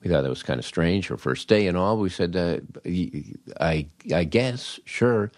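A man's voice: sits low, expressive, moderate pace, no room sound, clean studio sound. An older American man hosting a podcast and telling comic anecdotes in a wry, understated way. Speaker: podcast host.

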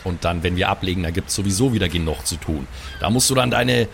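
Fairly low-voiced guy gushing and teasing while he plays his character, chatty. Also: fast, gravelly, a little hiss or hum. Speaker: player in a tabletop game. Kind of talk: improvised in-character play.

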